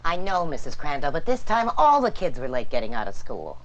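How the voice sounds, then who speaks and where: Actress as stressed woman bus driver: very low register, defensive, exasperated, swinging inflection, old instructional film. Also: big pitch swings, brisk, poor audio. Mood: defensive, irritated. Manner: curt, exasperated. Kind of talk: training film acting.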